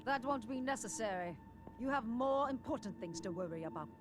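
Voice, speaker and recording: An actress playing a proud, predatory hunter villain in an action cartoon, haughty, menacing and taunting. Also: female voice, fairly high pitch, expressive, brisk, natural voice. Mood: cold dismissive. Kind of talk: animated action cartoon acting. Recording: loud music behind.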